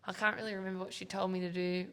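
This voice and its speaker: A woman's voice: mid-range voice, little pitch movement, racing, fairly bright tone. A young Australian woman hosting a casual podcast, chatty and giggly, often correcting herself, her voice a little worn. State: slightly losing her voice. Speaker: podcast host and gym founder. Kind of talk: casual chat.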